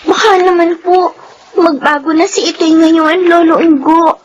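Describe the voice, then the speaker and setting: Tearful young girl, very high, dull sound, radio play. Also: steady tone, unhurried, a little hiss or hum. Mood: longing. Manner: pleading, tearful. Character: young girl longing for her father.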